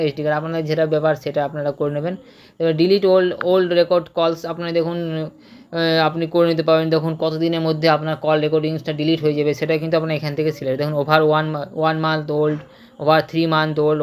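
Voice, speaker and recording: A man's voice: fairly high pitch, clean tone. A man with an Indian Bengali accent giving an informal, unscripted tutorial, repeating himself and stumbling over words as he checks that listeners follow. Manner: repetitive, stumbling, with frequent tag questions. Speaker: tech tutorial presenter.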